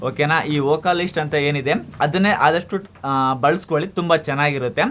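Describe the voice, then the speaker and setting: A man's voice: on the high side, normal speed, noisy background. A man hosting a casual how-to video, addressing viewers directly and often checking that they follow. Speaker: video tutorial host.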